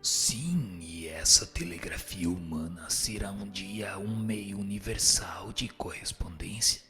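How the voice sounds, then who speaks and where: A man playing a solemn, wise spirit in a radio drama, answering in a measured, instructive way with a gravelly voice. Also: low voice. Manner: measured, didactic. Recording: music playing under the voice. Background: music.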